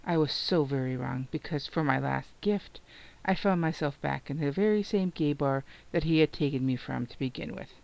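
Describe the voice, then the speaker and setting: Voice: medium pitch, moderate pace, vocal fry, darkish tone, low-quality recording. A narrator reading a novel aloud in the first person, dramatically and expressively, acting out the emotion.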